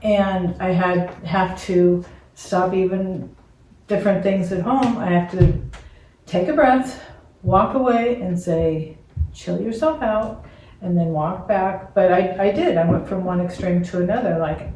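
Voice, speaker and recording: A woman's voice: on the low side, measured pace, a little mellow. A woman speaking plainly and repeating herself in a casual family podcast chat. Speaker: podcast co-host. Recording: echoey room, far from the mic, faint noise.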